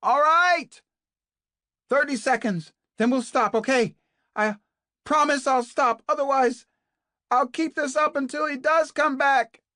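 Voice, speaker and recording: A narrator voicing a desperate captive woman doctor who shouts frantically for help. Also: sits high, unhurried, dry recording, studio quality, voice pushed up. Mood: pleading.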